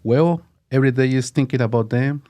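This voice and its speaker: A man in his fifties with a Mexican accent, talking in a mellow, warm voice. English is his second language, so he speaks haltingly and searches for words. His pitch sits in a middle range. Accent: Mexican Spanish. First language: Spanish. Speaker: guest. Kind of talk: casual interview talk.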